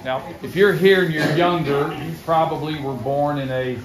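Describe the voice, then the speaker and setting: A man's voice: on the high side, fairly even intonation, moderate pace. In an echoey space with heavy background noise, a man hosts an informal gathering. He speaks in a folksy, joking way, as if telling stories to his congregation. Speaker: host of a church gathering. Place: barn gathering. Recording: echoey, noisy room with loud crowd. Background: crowd and noise.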